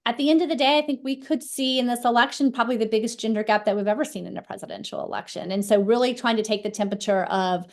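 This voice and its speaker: A woman's voice: on the high side, fast talker, few pauses. A woman speaking as a political analyst, thoughtful, with frequent you-knows. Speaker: political analyst, faculty guest. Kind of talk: interview answer.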